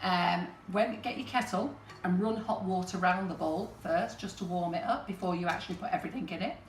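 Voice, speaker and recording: A chatty woman rambling and joking as she demonstrates baking. Her voice rings around an echoey kitchen, picked up from a little way off the mic with steady background noise. She talks at an ordinary middle pitch. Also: fairly quick, recorded from a distance. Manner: chatty, rambling, jokey, laughs often.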